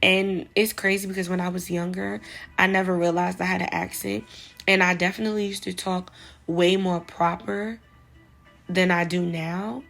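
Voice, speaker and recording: A woman's voice: lowish voice, little pitch movement, normal speed, creaky, a bit bright, soft background music. A young adult woman with a New York accent, chatting casually and directly to her viewers in an advice vlog, with lots of "like" fillers. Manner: chatty, speaks straight to viewers, many "like" fillers. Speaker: vlogger.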